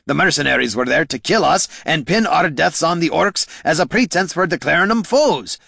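A man voicing a gruff, blunt male warrior hero who talks rough and coarse. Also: a little high, sing-song, fairly quick, a bit bright, no echo, clean studio sound, pitched above the performer's own voice, exaggerated voice. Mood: angry.

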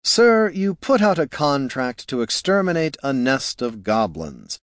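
A man voicing a pot-bellied mercenary warrior who speaks in a slow, deadpan, matter-of-fact way. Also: medium pitch, no room sound, studio quality, voice pushed up. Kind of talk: audiobook character voice.

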